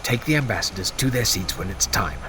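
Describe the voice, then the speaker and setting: A man playing a busy banquet host in an audio drama, speaking politely and briskly. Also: lowish voice, animated, natural voice. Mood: hurried. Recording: noisy background.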